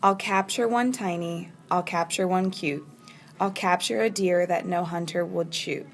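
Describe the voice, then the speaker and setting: A woman's voice: fairly low pitch, fairly even intonation, a little slow. A woman on staff reading a rhyming storybook aloud.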